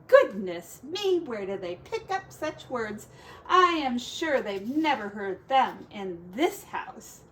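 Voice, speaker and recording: Woman voicing a high, fussing mother pig. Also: very animated, fairly bright tone, slight background noise, heavily acted, rough recording. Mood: flustered, fussing. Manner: exclaims in drawn-out cries. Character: flustered, scolding mother pig.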